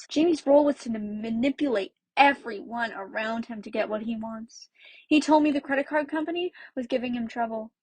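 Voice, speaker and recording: A woman's voice: a little high, dry recording, lightly acted, poor audio. An actress playing a candid, wronged young ex-girlfriend in a radio play, reflective yet ready to confront. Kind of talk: radio play interview and dialogue.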